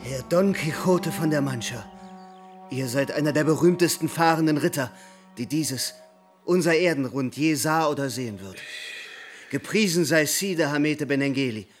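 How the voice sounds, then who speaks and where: An actor playing a young, big-mouthed bachelor in a radio drama, flattering with mock reverence and grand words. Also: male voice, fairly high pitch, normal speed, soft background music, natural voice. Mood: fawning, grandiose. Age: young adult.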